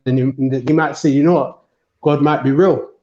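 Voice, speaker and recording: A man's voice: medium pitch, fairly even intonation, moderate pace, a little mellow, highs cut off, soft background music. A man from Montserrat on an online panel debate, preaching his view with many repeats and restarts. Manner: repetitive, restarts often, preachy.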